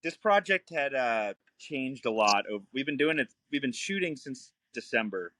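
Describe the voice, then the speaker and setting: A man's voice: medium pitch, big pitch swings, moderate pace, sharp tone, dry recording. A young man, a guest filmmaker talking frankly and easily in a casual podcast chat. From New Orleans. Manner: frank, easygoing, laughs often.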